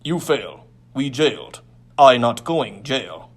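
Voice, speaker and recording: Man voicing a blunt, loud alien gang boss. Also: medium pitch, animated, takes it slowly. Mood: impatient, loud. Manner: blunt, clipped broken speech. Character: impatient blue-skinned one-eyed male alien gang leader. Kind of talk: audiobook character voice.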